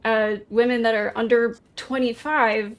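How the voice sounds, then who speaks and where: Woman, 30s, panel guest, hedging and filler-heavy, a bit high-pitched. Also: fairly even intonation, measured pace. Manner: hesitant, filler-heavy, says "like" often. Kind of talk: casual debate.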